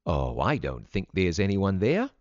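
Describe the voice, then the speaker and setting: A caring, anxious storybook mother , acted out by a man. The manner is gentle and concerned, with an animated rise and fall. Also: low-pitched, measured pace, no room sound, lo-fi sound, full character voice. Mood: reassuring.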